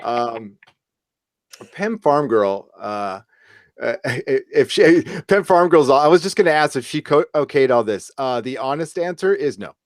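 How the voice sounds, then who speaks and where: A man's voice: mid-range voice, animated, unhurried, no echo, clean studio sound. A man hosting a live podcast in casual chat, rambling and joking, with some swearing. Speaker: podcast host.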